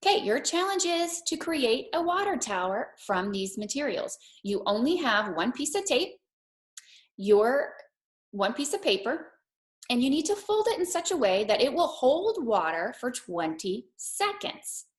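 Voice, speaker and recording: A woman's voice: sits high, big pitch swings, a little slow. Female teacher for a kids' read-aloud and lesson, simple, encouraging, child-directed delivery. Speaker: teacher reading aloud and setting a challenge for young children.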